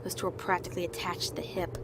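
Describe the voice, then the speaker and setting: A woman's voice: on the low side, level tone, racing, background noise, lightly acted. A woman playing a proud, ruthless female agent in an amateur audio drama, terse and scornful in manner. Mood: calculating.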